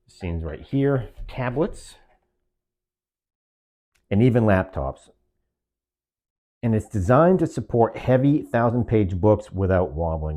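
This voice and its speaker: A man's voice: on the low side, warm tone. A man giving a semi-scripted product review, explaining features step by step in short phrases.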